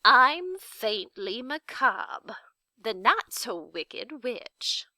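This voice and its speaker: A woman voicing a kindly, dignified old witch in a children's storybook, gentle, deliberate and formal in manner. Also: fairly high pitch, very animated, dry recording, full character voice. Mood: calm, amused. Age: older. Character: kindly, dignified old lady, a not-so-wicked official witch. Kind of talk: children's storybook read-aloud.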